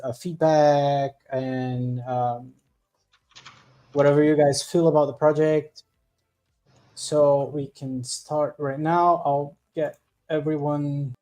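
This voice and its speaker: A man hosting a demo event, handing over to the next presenter in a relaxed way with plenty of fillers. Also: a little high. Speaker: event host. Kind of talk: casual hosting.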